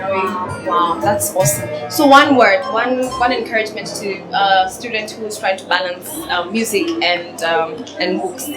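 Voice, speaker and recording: Upbeat woman reporting over music. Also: medium pitch. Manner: upbeat, halting with fillers. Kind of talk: field interview and report. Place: concert venue. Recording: echoey venue with loud music.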